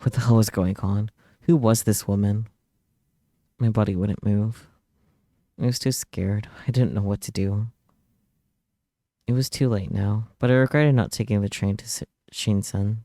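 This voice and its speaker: A man's voice: lowish voice, fairly even intonation, measured pace, pauses often, warm tone, dry recording, clean studio sound. A man reading a visual novel aloud as a streamer, narrating it dramatically in the first person. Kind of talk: dramatic read-aloud narration and translated dialogue.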